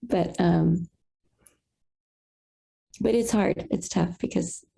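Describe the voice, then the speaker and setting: A young woman speaks on a video call with a low-set, gravelly voice. Her tone is dark and husky, and she talks in a halting, reflective way full of small fillers. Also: steady tone, poor audio. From Colombian roots. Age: young adult. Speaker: satsang participant. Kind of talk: casual sharing on a video call.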